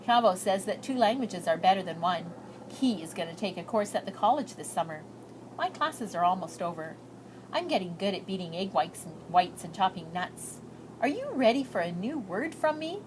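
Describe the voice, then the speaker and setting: A woman's voice: medium pitch, animated, normal speed, background noise, natural voice. Earnest young girl read by a woman, lilting. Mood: earnest, hopeful. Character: earnest, shy, hopeful immigrant girl writing letters.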